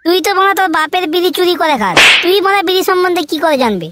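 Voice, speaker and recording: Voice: very high voice, very animated, rapid-fire, loud music behind the voice. A performer voicing a boastful, crude know-it-all schoolboy in a comedy cartoon. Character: boastful young schoolboy, know-it-all about cheap smokes.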